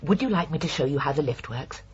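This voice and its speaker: A woman's voice: sits low, animated, fast talker, lo-fi sound, a little hiss or hum. Genteel, lilting British lady murderess. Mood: brightly matter-of-fact. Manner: prim, sweetly polite, matter-of-fact about killing. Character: genteel, chatty older lady, a calmly proud murderess. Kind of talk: British radio drama acting.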